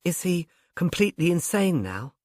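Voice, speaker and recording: A man's voice: high voice, very animated, normal speed, darkish tone, dry recording, voice pushed up. Guy reading curious uneasy woman character, chatty questions, audiobook style, dry recording. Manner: inquisitive, conversational.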